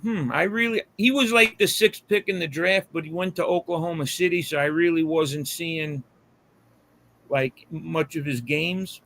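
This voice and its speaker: A man's voice: fairly high pitch, normal speed, gravelly, sharp tone, a little hiss or hum. An American man in casual chat on a live hangout, with a slangy, profane way of talking.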